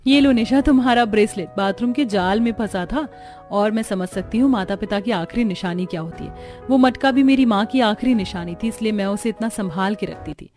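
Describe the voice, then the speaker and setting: A woman voicing a gentle, sentimental, forgiving daughter-in-law in a cartoon moral story. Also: medium pitch, brisk, faint music behind, lightly acted. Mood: earnest.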